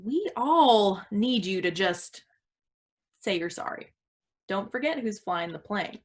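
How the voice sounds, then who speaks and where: A woman's voice: mid-range voice, lively intonation, takes it slowly. An actress in a staged reading playing an evasive, indignant woman with a defensive, emphatic way of talking. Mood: coaxing. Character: evasive woman, failed writer turned pilot, indignant.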